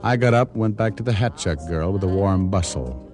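An actor playing a wisecracking, world-weary private detective in an old radio drama, with a wry, deadpan manner. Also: male voice, low voice, a little fast, over music, natural voice. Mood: wry, cool. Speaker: actor narrating and playing the lead. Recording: vintage radio broadcast recording.

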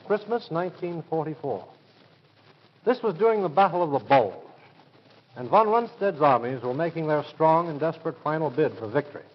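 An American man giving a formal, scripted 1940s radio address as an armed forces officer, speaking in earnest, measured oratory. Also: fairly high pitch, husky. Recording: dull, band-limited 1940s radio recording.

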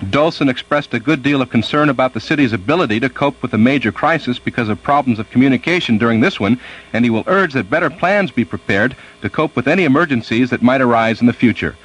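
A man's voice: mid-range voice, animated, fast talker, a bit bright, rough recording. A man with an American accent reading a scripted radio news report.

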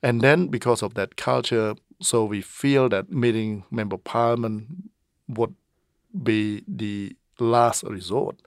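A middle-aged man, a member of parliament answering interview questions in an earnest, halting way with many pauses. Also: lowish voice, steady tone, no echo, clean studio sound. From Cambodia.

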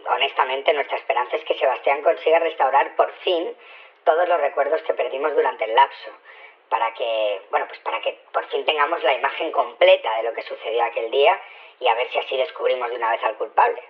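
An actor playing a flustered, hesitant security chief shrunk to half size in a radio drama, with a small pitched-up voice on a telephone. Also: male voice, fairly quick. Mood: discouraged, candid. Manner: hesitant, candid. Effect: pitched-up tiny voice. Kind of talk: scripted radio drama phone interview. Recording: muffled narrow telephone line.

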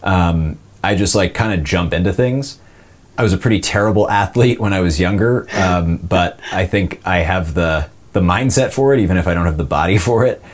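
Deep-voiced man, rambling guest. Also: normal speed. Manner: rambling, many "like" fillers. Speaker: voice actor guest. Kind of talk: casual interview answers.

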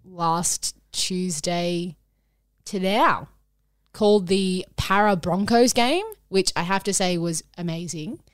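A woman's voice: lowish voice, slow, clear, resonant tone, no room sound, clean studio sound. A woman hosting a sports podcast in a casual, upbeat, chatty way. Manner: upbeat, chatty, sings parts of the intro. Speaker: podcast host.

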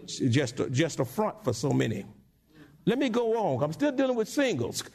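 A male pastor preaching a sermon in church, with call-and-response and sudden high-pitched asides. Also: very animated, moderate pace, gravelly, darkish tone, breathy edge. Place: church sanctuary.

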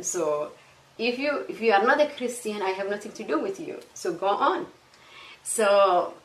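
A woman with a Somali accent telling her faith story as a guest, earnest and halting, with many restarts. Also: mid-range voice, fairly bright tone, roomy sound, far from the mic, poor audio. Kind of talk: casual testimony interview.

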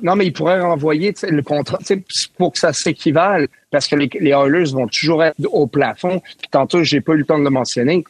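Give me a man with a fairly high voice, arguing trades and talking over the other panelists. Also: fairly quick, few pauses, dry recording. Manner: animated, interrupts and talks over others. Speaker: sports radio panelist. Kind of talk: casual hockey debate.

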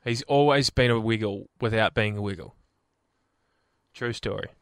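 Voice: medium pitch, moderate pace, a bit bright, clear, resonant tone, dry recording, clean studio sound. A radio co-host delivering news in a teasing, matter-of-fact way. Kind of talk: casual radio banter.

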